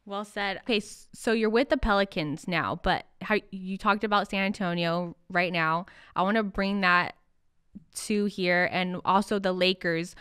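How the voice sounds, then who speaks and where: A young woman with a very smooth, clear voice at a medium pitch. She asks interview questions casually, feeling her way through informal, halting sentences, recorded dry and close. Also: fairly even intonation, a bit bright, dry recording. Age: young adult.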